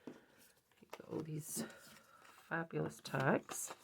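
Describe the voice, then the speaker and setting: A woman hosts a craft vlog with a hoarse, gravelly voice from a cold. She talks slowly in a low register, rambling through her project with plenty of ums. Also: steady tone, crowd noise. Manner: chatty, rambling, with many ums and restarts. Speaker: craft channel host. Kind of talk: casual show-and-tell craft vlog.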